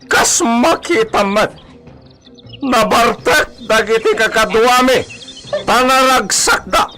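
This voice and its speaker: An actor playing a mocking, menacing bandit boss in a melodramatic radio drama, with a Filipino accent and a taunting, drawn-out delivery. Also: male voice, extremely high pitch, bright, forceful tone, soft background music, heavily acted.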